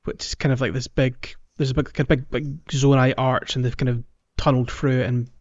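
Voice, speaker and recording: A man talks through a video game as a podcast co-host, casual but thorough in his explanations. His voice sits at a middle pitch. The sound is very dry and a little dull, like a modest home microphone. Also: flat delivery, fairly quick, darkish tone, no room sound. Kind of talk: casual gaming chat.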